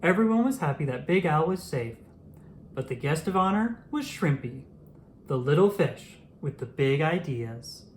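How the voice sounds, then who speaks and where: A storyteller reading a children's picture book aloud in an expressive, animated way. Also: mid-range voice, moderate pace, slight background noise, poor audio. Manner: animated, acts out the dialogue.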